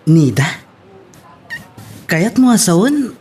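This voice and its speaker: Man acting a chatty higher-pitched Ilocano fellow. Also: very animated, slow pace, a little mellow, faint music behind, full character voice. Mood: surprised. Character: Ron, chatty, easygoing male restaurant customer. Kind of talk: Filipino radio-style romance drama acting.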